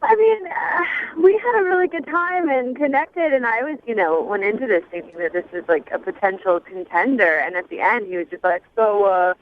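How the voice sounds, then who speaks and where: A woman's voice: a little high, animated, normal speed, few pauses. A young adult woman chatting casually as a phone-in radio guest, sassy, candid and wry. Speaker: phone-in guest on a dating segment. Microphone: telephone. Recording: thin phone line.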